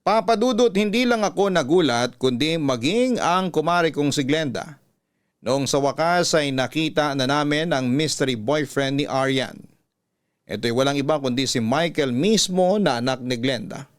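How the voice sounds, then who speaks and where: A man with a Filipino accent reading a listener's letter as a scripted, measured and earnest radio story. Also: on the high side.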